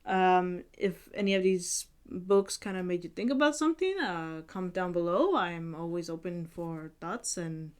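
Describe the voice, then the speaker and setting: Young woman from Sweden, earnest book vlogger, lowish smooth voice, lots of "like" and "uh". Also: normal speed, hardly any pauses. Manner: earnest, many fillers and restarts. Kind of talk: casual book review vlog.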